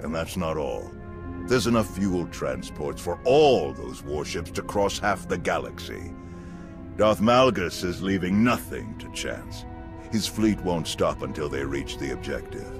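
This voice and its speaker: An actor playing a burly, authoritative alien general giving a grave military briefing in a video game. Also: male voice, sits low, sing-song, unhurried, music in the background, exaggerated voice.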